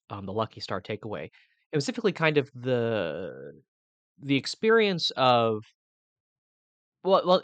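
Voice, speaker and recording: A man's voice: mid-range voice, dry recording, highs cut off. A man interviewing a guest, repeating words as he builds his questions. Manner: searching, repeats words while forming questions.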